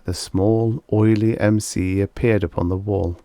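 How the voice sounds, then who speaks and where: A man's voice: lowish voice, steady tone, a little slow, mellow, no room sound. A man reading a vintage science fiction short story as scripted audiobook narration.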